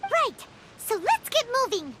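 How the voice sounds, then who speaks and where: Tiny girlish fairy sidekick, extremely high squeaky voice, swooping intonation, jittery and excitable, video game dialogue, heavy noise behind. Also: unhurried, heavily acted. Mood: eager. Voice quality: squeaky, childlike. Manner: exclamatory, speaks in third person.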